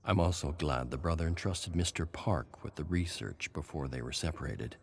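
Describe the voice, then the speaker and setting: A man voicing a wry would-be filmmaker who reads his survivor's journal from a game in a dry, droll, measured way. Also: rumbling low, creaky, a little hiss or hum. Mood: uneasy, hopeful. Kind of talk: dramatic reading of a game journal.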